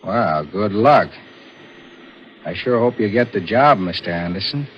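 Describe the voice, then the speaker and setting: Scheming ex-con, American, very low voice, hardboiled, 1940s radio thriller, muffled vintage recording. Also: male voice, lively intonation, unhurried, a little hiss or hum. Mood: falsely friendly. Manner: hardboiled first-person narration and dialogue. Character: scheming ex-convict forger narrating his own crime.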